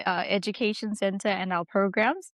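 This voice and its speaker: A young South African woman speaking in a casual interview, chatty and relaxed, leaning on fillers as she talks. Her voice sits at a middle pitch and the sound is dry and close, with no room echo. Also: normal speed. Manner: chatty, laughs easily, many "like" fillers. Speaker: guest, English teacher.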